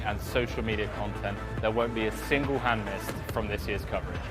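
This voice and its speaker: Polished man presenting over loud music. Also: mid-range voice. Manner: polished, upbeat presenter delivery. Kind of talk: scripted promotional tour presentation. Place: at a casino poker venue.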